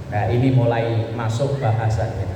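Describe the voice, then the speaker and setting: A man's voice: medium pitch, fairly even intonation, moderate pace, mellow. A man with a Javanese Indonesian accent giving an informal Islamic sermon to a women's study group, conversational and colloquial, explaining things with repetition. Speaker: Islamic preacher. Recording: echoey reverberant hall, faint background noise.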